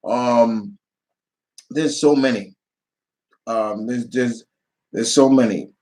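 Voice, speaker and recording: A man hosting a casual livestream Q&A, speaking off the cuff in a halting way, repeating phrases and reading viewer questions aloud before answering. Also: medium pitch, darkish tone.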